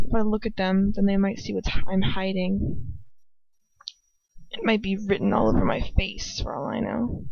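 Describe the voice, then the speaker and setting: A woman reading as a frightened, despairing young woman who argues with herself in a halting, anguished way. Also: medium pitch, creaky, dry recording. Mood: subdued, guilty. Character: frightened, despairing young woman narrating her own inner turmoil. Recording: dull, muffled lo-fi home recording.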